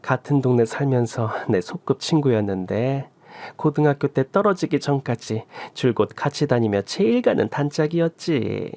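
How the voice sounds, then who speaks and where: A man's voice: mid-range voice, darkish tone, dry recording, close to an everyday voice. A man voicing an emotional older mother-in-law who sighs, scolds and pleads in a story drama reading.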